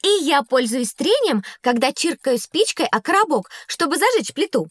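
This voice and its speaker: A woman playing a bright, inquisitive schoolgirl who is quick to correct, animated and matter-of-fact, in an educational audio drama. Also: high voice, no room sound, studio quality. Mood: curious.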